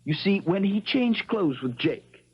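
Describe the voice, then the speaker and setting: An actor playing an eager, clever young small-town lawyer hero in a 1970s radio drama, telling things in a folksy, theatrical way. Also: male voice, high-pitched, big pitch swings, measured pace, slightly rough, lo-fi sound, full character voice. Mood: explaining excitedly.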